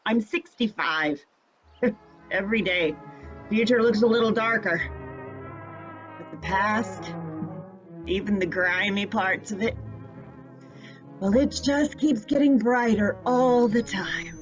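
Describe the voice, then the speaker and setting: An actress playing a flippant, teasing retired heroine of sixty-five in a fan-made drama. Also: female voice, mid-range voice, lively intonation, takes it slowly, long pauses, highs cut off, faint music behind. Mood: flippant, amused. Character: brassy, flippant 65-year-old retired heroine, a mother.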